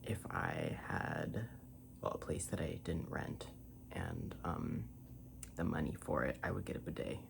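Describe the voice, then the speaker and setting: A soft-spoken ASMR creator rambles playfully in a breathy, often whispered voice, close to the microphone, sometimes in a mock-grandiose way. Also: rumbling low, very animated, a little slow, noisy background.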